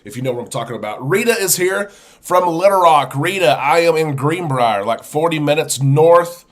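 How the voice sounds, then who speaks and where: A man's voice: fairly high pitch, lively intonation, moderate pace, fairly bright tone. A man hosting a live show, chatting casually and greeting viewers one by one, congested and sniffly from a chest cold. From United States. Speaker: host.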